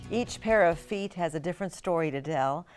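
A woman's voice: on the low side, lively intonation, moderate pace, a little mellow, soft background music. A middle-aged American woman reading scripted TV news narration as an anchor and reporter.

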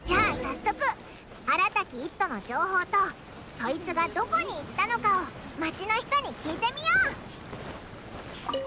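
Cheeky tiny fairy sidekick for an anime game: very high, squeaky, highly animated, brash. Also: female voice, moderate pace, very noisy. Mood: curious. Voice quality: squeaky, childlike. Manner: brash, boyish casual speech. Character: tiny floating childlike fairy companion, cheeky and boastful.

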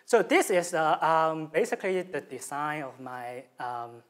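A young man with a Hong Kong accent presents his research, a native Cantonese speaker talking in English. He is animated and gestures a lot, with frequent fillers and restarts. He speaks into a lectern mic in a lecture room. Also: a little high, measured pace. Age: young adult. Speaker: student presenter. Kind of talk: academic presentation. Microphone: stand mic at lectern.

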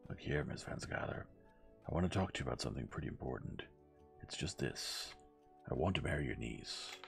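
A man's voice: extremely low pitch, animated, a little fast, gravelly, soft background music, voice pushed down, heavily acted. A man playing an impertinent, outspoken young man in an audiobook, blunt and argumentative. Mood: stubborn.

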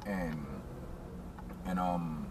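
A man's voice: low-pitched, steady tone, drawn-out words, recorded from a distance. A man in his forties with a New York accent, telling stories in a laid-back, casual way as an interview guest in a moving car. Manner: laid-back storyteller, frequent "you understand what I'm saying". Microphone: camera mounted on dashboard. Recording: car interior with loud road noise.

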